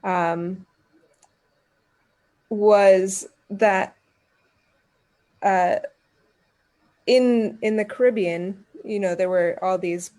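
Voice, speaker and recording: A woman's voice: mid-range voice, steady tone, drawn-out words, pauses often, darkish tone. A woman speaking as a guest expert, giving earnest explanatory answers in an interview. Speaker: guest expert on environmental communication.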